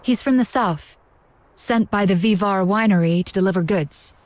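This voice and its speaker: A woman voicing a shrewd, composed female tavern keeper in a video game, smooth-talking and self-assured. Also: medium pitch, normal speed, a little mellow, lightly acted. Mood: pleading, persuasive.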